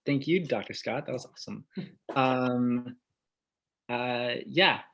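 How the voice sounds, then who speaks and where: A man's voice: mid-range voice, takes it slowly, fairly bright tone. A man moderating a panel Q&A, casual and hesitant with many fillers, reading questions from the chat.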